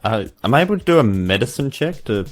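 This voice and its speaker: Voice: medium pitch, big pitch swings, fast, faint music behind. A player, role-playing casually in a tabletop game.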